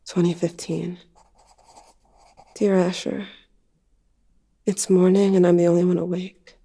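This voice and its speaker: A young woman plays a grieving teenager with a slightly low, mellow voice. The delivery is intimate and confessional, with a wry, anxious edge, like diary entries narrated in an audio drama. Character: grieving, anxious teenager writing to a dead sibling.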